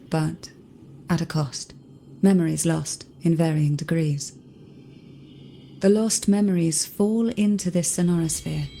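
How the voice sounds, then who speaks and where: A calm, knowing guardian woman from a fantasy game. Her voice is mellow and slightly low, and she takes her time with every solemn phrase. A little background noise sits behind her. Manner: measured, composed, solemn.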